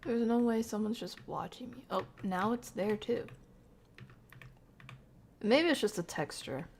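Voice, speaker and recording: A woman with a fairly high voice streams a horror game. She chats casually to viewers in loose slang, reacting and reasoning aloud. There is noticeable background noise with keyboard clicks. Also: measured pace, vocal fry, darkish tone. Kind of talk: casual live horror-game playthrough commentary, talking to chat.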